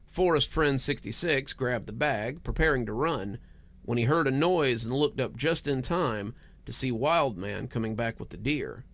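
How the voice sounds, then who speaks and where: A man's voice: mid-range voice, normal speed, creaky, a bit bright. A man narrating scripted first-person horror stories as a host, with a dramatic storytelling delivery.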